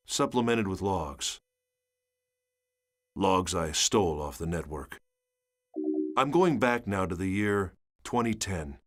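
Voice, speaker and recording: Low, husky engineer narrator. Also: male voice. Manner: measured, grave. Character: sober male engineer recounting his journal. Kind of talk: scripted dramatic narration.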